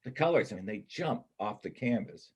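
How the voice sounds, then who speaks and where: Husky, low-voiced ex-con drifter, played by a man. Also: lively intonation, normal speed. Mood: admiring. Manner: colloquial, blunt, wry. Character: Kip, wounded ex-convict drifter, bookish loner, self-doubting. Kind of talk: stage reader's theater dialogue.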